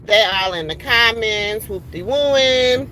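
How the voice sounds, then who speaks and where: A woman's voice: a little high, lively intonation, slow, sharp tone, a little hiss or hum. A middle-aged woman ranting on a casual livestream from a car, heated and rambling with many fillers. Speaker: livestream host.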